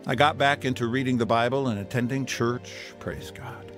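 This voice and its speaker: A man's voice: medium pitch, normal speed, in a large hall, over music. A man narrating his testimony in an earnest, preaching manner, quoting scripture.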